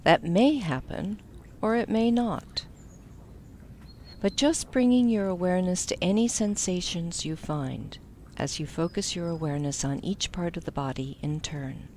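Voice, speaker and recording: Low-pitched woman guiding meditation, some background noise. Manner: gentle, unhurried instruction with soft phrase endings. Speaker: meditation instructor. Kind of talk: guided meditation narration.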